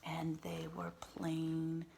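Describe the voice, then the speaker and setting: A woman teacher reading a picture book aloud to young children, pausing to explain words and ask simple questions in an instructive way. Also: sits low, monotone, gravelly, mellow, a little hiss or hum.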